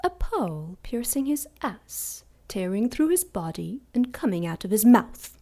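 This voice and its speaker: Ancient female demon, haughty, vengeful, contemptuous, mid pitch, radio drama. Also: sing-song, measured pace, warm tone. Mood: menacing. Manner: grandiose, menacing, contemptuous. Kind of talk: audio drama acting.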